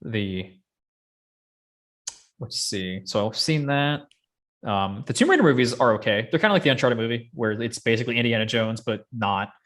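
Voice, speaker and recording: Male podcast host, medium pitch, conversational and opinionated, wandering through his thoughts. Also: normal speed, a bit bright. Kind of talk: casual chat about films and games.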